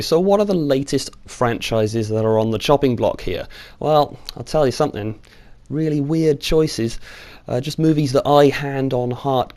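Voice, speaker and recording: A man's voice: fairly high pitch, warm tone. A British man hosting an online video, giving informal, opinionated commentary with a sardonic streak and some mild swearing. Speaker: video host. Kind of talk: scripted opinion commentary on video game films.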